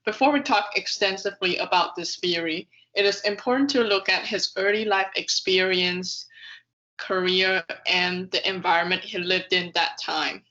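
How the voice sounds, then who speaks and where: A woman's voice: on the low side, fairly even intonation, moderate pace, bright, forceful tone, dull audio. A woman narrating a video essay from a script, reading carefully with occasional stumbles over words. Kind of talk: scripted narration, read aloud.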